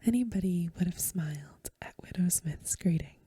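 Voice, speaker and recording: A woman softly whispering a story for ASMR in a breathy voice, with gentle, careful phrasing, close to the microphone. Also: lowish voice, normal speed, no room sound. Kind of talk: soft-spoken ASMR story reading.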